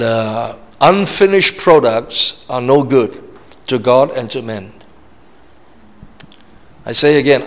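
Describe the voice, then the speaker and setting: A man delivers a sermon in a large church hall, where his voice trails off in a long reverb. He is emphatic and repetitive, keeps checking in with "all right?", and speaks at an ordinary middle pitch. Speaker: preacher.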